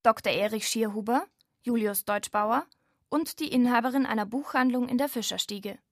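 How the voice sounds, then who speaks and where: A woman's voice: fairly high pitch, moderate pace, no room sound, clean studio sound. A woman presenting a radio feature in scripted narration.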